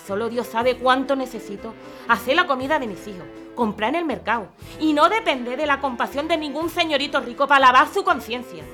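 A woman's voice: sits high, expressive, fairly quick, pushed and bright, faint music behind. An actress playing a proud, poor young mother in an audio drama, speaking with earnest dignity. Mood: proud indignation.

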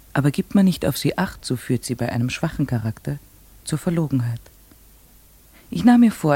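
Village schoolteacher, young woman, measured and gently probing; husky mid voice, dry studio. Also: rumbling low, no room sound, exaggerated voice. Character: young unmarried female village teacher, strict but kind. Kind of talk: 1950s radio drama acting with first-person narration.

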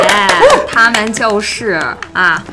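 A woman's voice: fairly high pitch, heavy background noise. A woman hosting a live show in a bubbly, encouraging manner. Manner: bubbly, cheering the guests on. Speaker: host of a live fan event.

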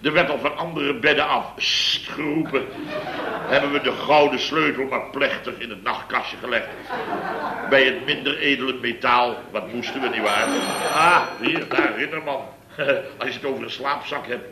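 An actor playing a blustering middle-aged building-firm boss in a 1960s radio comedy, telling stories with big theatrical swings. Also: male voice, medium pitch, normal speed, background noise, close to an everyday voice. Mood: boisterous. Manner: boisterous storytelling, exaggerated swings. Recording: echoey old radio studio recording.

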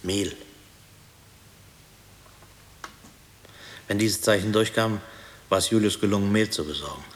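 An actor playing a grave former prisoner haunted by wartime memories, speaking in a measured, reflective way in a radio play. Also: male voice, sits low, fairly bright tone. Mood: grave reminiscence. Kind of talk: German radio play acting. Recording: hissy old radio drama tape.

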